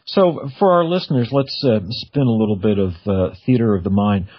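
A man's voice: fairly low pitch, sing-song, fairly quick, slightly rough, no echo. A middle-aged man hosting a radio interview in a chatty, informal, conversational way. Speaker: radio show host. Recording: dull, band-limited radio audio.

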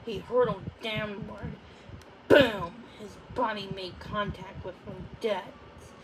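A woman reading a children's book aloud, haltingly, stumbling over words and repeating them. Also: mid-range voice, fairly even intonation, noisy background. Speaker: storybook reader.

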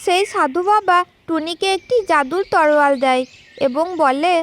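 A woman's voice: high-pitched, moderate pace. A woman storyteller reading scripted narration for children's cartoon tales.

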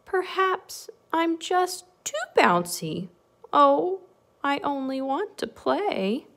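A woman's voice: very high voice, huge pitch swings, slow, pitched above the performer's own voice, full character voice. A woman voicing a young, playful male bunny in a storybook, innocent and wistful.

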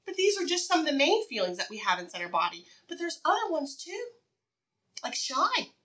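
High, animated woman preschool teacher. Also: far from the mic. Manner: animated, encouraging, asks questions and pauses for answers; simple repetitive child-directed phrasing. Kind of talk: read-aloud lesson for young children.

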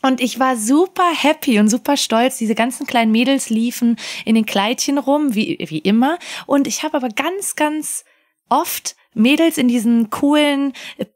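A woman's voice: high voice, normal speed. A woman co-hosting a podcast, chatting casually in long, run-on sentences. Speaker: podcast co-host. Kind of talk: casual panel chat.

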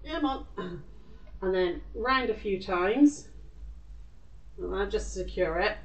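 A woman's voice: mid-range voice, lively intonation, slow pace. A British woman in her forties giving a casual live craft demonstration, chatty and informal, sometimes muttering to herself as she works. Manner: chatty, informal, talks to self while working. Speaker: craft tutor and live show host. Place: indoor craft room. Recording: echoey room, distant microphone.

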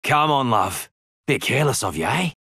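An actor playing a cocky, flirtatious pirate adventurer in a video game, with an Australian accent and a playful, teasing drawl. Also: male voice, medium pitch, sing-song, moderate pace, pushed and bright, slightly rough, no room sound, studio quality, heavily acted. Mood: teasing, affectionate. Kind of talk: video game character voice lines.

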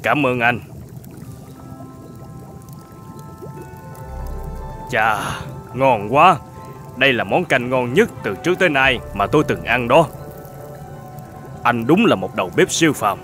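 An actor playing a polite, grateful young traveller in an animated folk tale, speaking earnestly. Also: male voice, medium pitch, normal speed, faint music behind.

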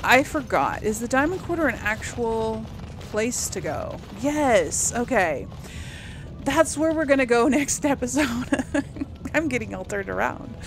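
Girl doing a lets play, chatty, thinking out loud, game music clearly behind her. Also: on the high side, expressive, unhurried. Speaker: gaming channel host. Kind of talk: casual let's play commentary.

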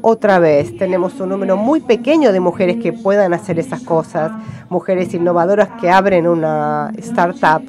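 A woman giving simultaneous interpretation at a conference, rendering the speech phrase by phrase with some halting. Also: on the low side.

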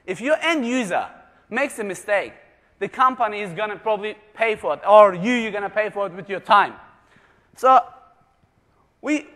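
A man with a very high voice presents to a live conference audience, cracking jokes and firing questions at the room. He grew up in Germany. His voice rings out in a large hall with a long, trailing reverb. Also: a bit bright. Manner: jokey, asks the audience questions.

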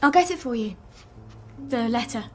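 A woman's voice: fairly high pitch, animated, normal speed, darkish tone, a little hiss or hum, lightly acted. An actress playing a frank, wry, wistful woman chemist in a video game, speaking intimately and sometimes whispering. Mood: pleading. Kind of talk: naturalistic video game acting.

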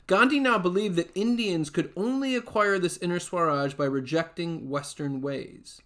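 A man in his forties reading a nonfiction book aloud as its author, with measured, deliberate phrasing. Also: high-pitched, a bit bright. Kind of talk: read-aloud nonfiction narration. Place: small furnished room at home, indoors.

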